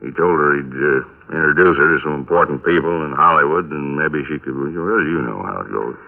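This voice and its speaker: An actor playing a gruff, weary American police lieutenant who talks in a casual, hesitant, rambling way on old radio drama. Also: male voice, rumbling low, a little fast. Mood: matter-of-fact. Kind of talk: 1950s radio drama acting. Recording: narrow-band old radio recording.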